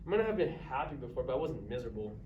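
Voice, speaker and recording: A man's voice: medium pitch, sing-song, very fast, muffled recording, close to an everyday voice. A male drama student playing a bitter, closed-off, self-centred man in a staged reading. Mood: angry, exasperated. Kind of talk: staged reader's theatre performance. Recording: distant echoey noisy live stage recording.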